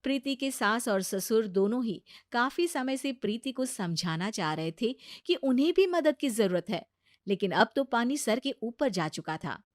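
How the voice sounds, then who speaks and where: High, animated woman narrating a story. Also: normal speed, dry recording, studio quality.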